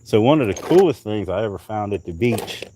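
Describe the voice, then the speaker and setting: A man's voice: lowish voice, lively intonation, a little fast, noisy background. A middle-aged man, rambling casually on a livestream while showing off things he found, swearing as he goes. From North Carolina, US. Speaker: livestream host. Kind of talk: casual livestream show-and-tell. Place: outdoors at night in summer heat.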